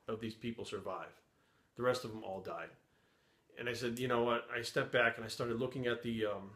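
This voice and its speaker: A man's voice: mid-range voice, fairly bright tone. Earnest middle-aged man, echoey room. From Rhode Island, USA. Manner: earnest, rambling, restarts phrases. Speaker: fitness YouTuber. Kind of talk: earnest personal to-camera talk.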